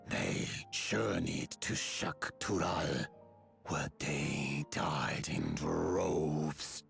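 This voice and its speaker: An actor playing a solemn giant elder of a mountain people in a fantasy game, speaking in stately, formal, archaic phrases. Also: male voice, drawn-out words, a bit bright, faint music behind, heavily acted. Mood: grave. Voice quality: deep, gravelly, breathy at phrase ends. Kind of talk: scripted fantasy game dialogue.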